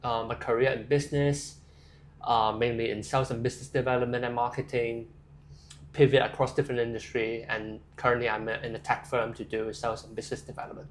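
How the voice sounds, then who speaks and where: A man's voice: mid-range voice, level tone, brisk, a little hiss or hum. A young man from Hong Kong, answering interview questions casually and thoughtfully, with frequent fillers and restarts. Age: young adult. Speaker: interview guest.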